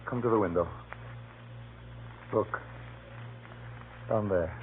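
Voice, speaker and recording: Hunted informant on tinny old radio. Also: male voice, on the low side, slow pace. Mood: anxious, urgent. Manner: secretive, earnest, nervously insistent. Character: hunted, fearful little man, a spy-hunting informant. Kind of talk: 1930s radio drama acting. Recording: muffled, noisy 1930s radio recording. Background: faint hiss and noise.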